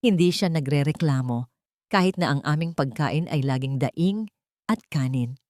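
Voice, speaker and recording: A woman's voice: deep voice, lively intonation, normal speed, darkish tone, no echo, studio quality. A woman narrating a scripted first-person story in an earnest, dramatic storytelling manner.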